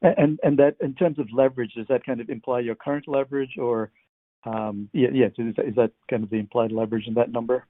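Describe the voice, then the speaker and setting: A man's voice: mid-range voice, steady tone, fast talker, no echo. A man asking questions as an analyst on an earnings call, informal and somewhat hesitant in phrasing. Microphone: telephone. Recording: dry, tinny phone line.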